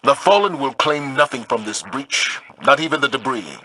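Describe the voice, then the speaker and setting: An actor playing a grave, steadfast military commander in a video game, speaking in a solemn, measured, authoritative way. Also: male voice, medium pitch, lively intonation, pushed and bright, dry recording, low-quality recording. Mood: resolute, commanding. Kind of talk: scripted video game voice acting.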